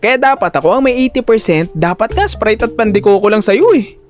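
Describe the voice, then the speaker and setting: A man's voice: high-pitched, animated, brisk, soft background music. A man with a Filipino accent playing a panicky, talkative older brother who narrates his own story in an audio drama and gets easily flustered and exasperated. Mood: indignant. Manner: flustered, stammering when pressed, exasperated.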